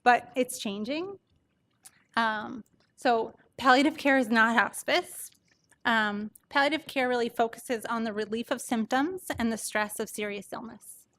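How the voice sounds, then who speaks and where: Soft-voiced woman with a higher pitch, presenting. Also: a little slow. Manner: conversational, explanatory. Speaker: palliative care doctor presenting. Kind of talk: informal medical presentation to an audience.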